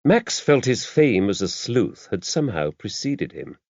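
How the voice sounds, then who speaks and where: A man's voice: medium pitch, sing-song, a little slow, a little mellow, no echo, dull audio. A man reading a mystery novel aloud as scripted audiobook narration.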